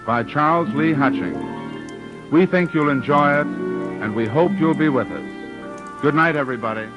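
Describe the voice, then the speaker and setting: A man's voice: a little high, unhurried. A male radio director and host giving a polite preview and signing off. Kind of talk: formal closing remarks. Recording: dull old radio broadcast with music.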